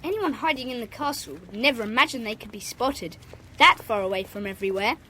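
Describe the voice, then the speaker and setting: A woman playing a brisk, sensible schoolboy club leader in a children's audio drama. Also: fairly high pitch, lively intonation, pushed and bright, a little hiss or hum, low-quality recording. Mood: confident.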